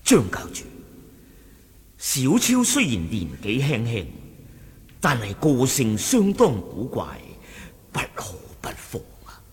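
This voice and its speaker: Cantonese martial arts sect deputy, super swoopy pitch, suspicious n deferential, radio drama in a big echoey hall. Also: male voice, huge pitch swings, slow, pauses often. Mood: grave. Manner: deferential, storytelling with emphatic exclamations. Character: wary, suspicious martial-arts sect lieutenant reporting to his chief. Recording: long reverberant hall echo.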